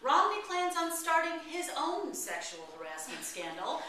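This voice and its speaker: A middle-aged woman reading satirical news headlines aloud from a script, with deliberate, expressive delivery. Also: very high voice. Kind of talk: mock newscast read aloud from script at rehearsal. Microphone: far camera. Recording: echoey room, distant camera mic, faint noise. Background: small group of colleagues in the room.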